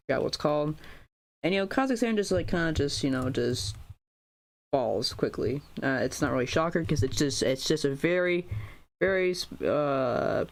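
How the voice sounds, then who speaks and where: Casting: young American guy, slightly high voice, loose rambling map-video voice-over. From United States. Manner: rambling, many fillers and restarts. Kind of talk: casual map commentary.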